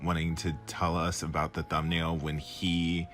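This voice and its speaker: A man with a fairly low voice reads scripted commentary for a response video. He is critical and explanatory as he lays out his points, with faint music behind him. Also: completely flat delivery, unhurried, a bit bright.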